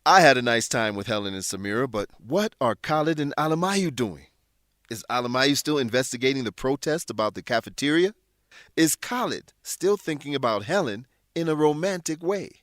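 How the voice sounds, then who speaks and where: A man's voice: mid-range voice, expressive, moderate pace, fairly bright tone, no room sound, clean studio sound. A man hosting an English lesson, reading scripted educational narration. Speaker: host and English teacher.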